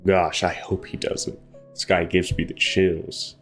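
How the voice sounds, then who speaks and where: A man's voice: deep voice, flat delivery, a little slow, fairly bright tone. A man doing casual let's play commentary, reading visual novel text aloud and breaking off for joking asides. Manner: joking, self-interrupting asides. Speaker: gaming video host. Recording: background music behind the voice. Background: game music.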